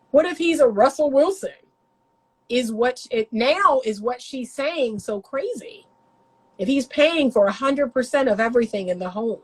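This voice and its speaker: A woman's voice: fairly high pitch, poor audio. A woman, congested from a cold, giving casual relationship-coaching commentary to her viewers, emphatic and repeating her points.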